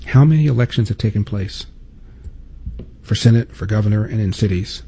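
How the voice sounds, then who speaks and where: Deep-toned emphatic male talk host. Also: a little fast, dark tone, breathy edge. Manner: emphatic, repeats for stress, rhetorical questions. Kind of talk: opinion monologue.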